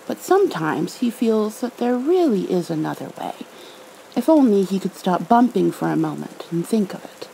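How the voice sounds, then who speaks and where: A woman speaking in a hushed, tender, nurturing way, as in an ASMR bedtime roleplay and reading. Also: mid-range voice, very animated, moderate pace, creaky, low-quality recording. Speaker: ASMR roleplayer, storybook reader. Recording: faint rain-like background noise.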